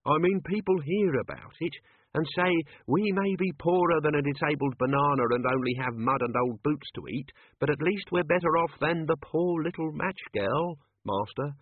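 A man's voice: on the high side, normal speed, slightly rough, no echo, pitched above the performer's own voice. A man doing a fretful old manservant. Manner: nervous, pleading, says Master often. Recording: tinny lo-fi audio.

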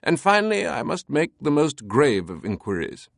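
A man voicing a theatrical, playful British aristocrat in an audiobook, with a crisp, light voice and a mock-grand British accent. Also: a little high, big pitch swings, normal speed, no echo, clean studio sound, voice pushed up. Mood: mock-solemn. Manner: pompous, dramatic, mock-solemn.

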